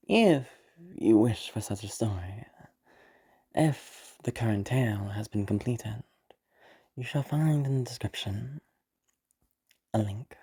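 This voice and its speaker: A woman voicing an ominous, formal narrator who solemnly introduces dark storybook tales in a hushed, theatrical way. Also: rumbling low, vocal fry, husky, full character voice. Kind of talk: slow scripted storybook introduction.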